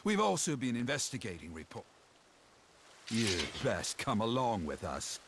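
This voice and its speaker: An actor playing a stern, officious guard officer in a video game, formal and accusatory. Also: male voice, mid-range voice, huge pitch swings, measured pace, noisy background. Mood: stern, accusing.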